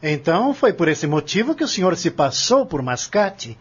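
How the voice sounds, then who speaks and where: Man acting a high-voiced, accusing lawyer. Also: sing-song, normal speed, slightly rough, full character voice. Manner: formal, pointed, accusatory. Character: stern accusing male lawyer cross-examining a defendant. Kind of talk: audio drama acting. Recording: dull lo-fi audio.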